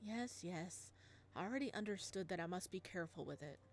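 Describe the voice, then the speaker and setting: A woman voicing a flustered young single father in a visual novel, stammering when nervous, with lively swings in pitch. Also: brisk, lightly acted. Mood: eager. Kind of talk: reading a visual novel aloud.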